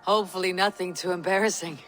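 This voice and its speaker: A woman playing a self-assured Viking warrior leader in a video game, direct and measured. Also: fairly low pitch, steady tone, fairly bright tone, soft background music, natural voice. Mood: friendly. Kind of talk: video game cutscene acting.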